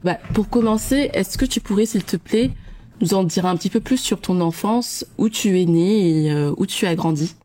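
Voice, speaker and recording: A woman's voice: mid-range voice, moderate pace, dark tone. A woman in her thirties hosting a podcast, reading a scripted intro and then interviewing her guest in a friendly, enthusiastic way.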